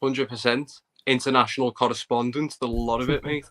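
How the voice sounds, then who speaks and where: A man with a Scouse accent co-hosting a casual livestream, talking in a relaxed, blunt way. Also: mid-range voice, brisk. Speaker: co-host.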